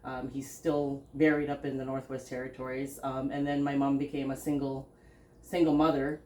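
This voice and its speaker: Low-voiced woman telling her story in an echoey room. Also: level tone, warm tone, slight background noise. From Philippines, raised in Canada. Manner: halting, many fillers and restarts, laughs often. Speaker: podcast host and business founder. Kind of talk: casual interview, telling her life story. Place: living room, seated on a sofa. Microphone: stand mic between speakers.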